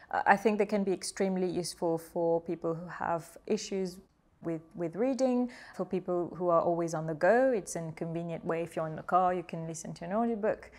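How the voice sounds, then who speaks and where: A woman interviewer asking thoughtful questions, often affirming and laughing softly with her guest. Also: lowish voice. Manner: encouraging, frequent affirmations and soft laughs. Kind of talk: conversational interview.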